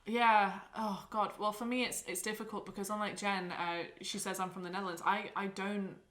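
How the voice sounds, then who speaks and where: A woman's voice: mid-range voice, little pitch movement, moderate pace, fairly bright tone. A young woman from England, speaking with an English accent mixed with Canadian, hosting a podcast in a chatty, self-deprecating way. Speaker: podcast host. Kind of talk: casual podcast chat.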